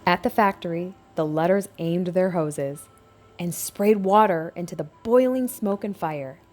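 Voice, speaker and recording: A woman's voice: on the low side, a little slow, darkish tone, faint music behind. A woman narrating a scripted picture book aloud for young children, as a storybook reader.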